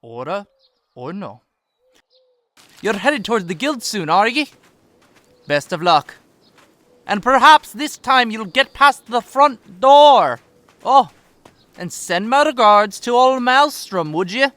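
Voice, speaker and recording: A man's voice: high voice, big pitch swings, takes it slowly, long pauses, a bit bright, dry recording, full character voice. A man voicing a friendly shopkeeper with a folksy lilt in a comic dub. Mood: kindly. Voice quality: folksy lilt, calls her lassie.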